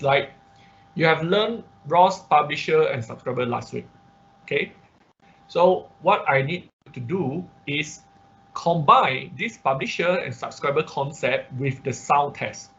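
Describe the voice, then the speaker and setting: A man teaching an informal online programming class, thinking aloud haltingly and repeating his words as he explains. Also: fairly high pitch, expressive, creaky, fairly bright tone. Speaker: instructor. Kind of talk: informal online programming lesson with live demonstration. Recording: muffled, noisy online class audio.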